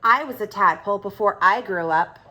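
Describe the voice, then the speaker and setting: A woman's voice: mid-range voice, a little fast, sharp tone. A woman voicing a friendly grown-up frog in a children's storybook, explaining things patiently and with emphasis. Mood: enthusiastic, explaining. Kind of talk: children's storybook read-aloud dialogue.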